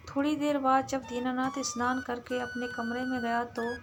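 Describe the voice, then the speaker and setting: A woman narrating a scripted story as a storyteller, in a halting delivery that breaks phrases mid-sentence. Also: sits high. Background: background music.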